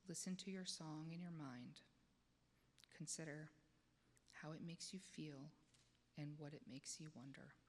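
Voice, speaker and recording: Female meditation leader, notably low register, gentle step-by-step prompts, long room reverb. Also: measured pace, long pauses. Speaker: lay leader of guided meditation. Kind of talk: guided meditation, slow prompting questions. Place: church sanctuary, speaking to congregation. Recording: echoey church hall, noticeable background noise.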